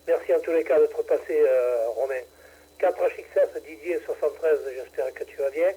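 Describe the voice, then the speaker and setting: A man's voice: on the high side, moderate pace, mellow, husky, low-quality recording. A man chairing an amateur radio net over the air, talking in a hesitant way with many fillers and restarts. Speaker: radio club president running a ham radio net. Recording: radio transmission.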